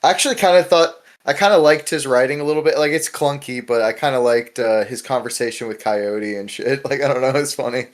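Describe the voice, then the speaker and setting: A man's voice: mid-range voice, fairly quick, few pauses. A man hosting a casual discussion podcast, talking in an informal, rambling way with plenty of swearing. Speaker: podcast host.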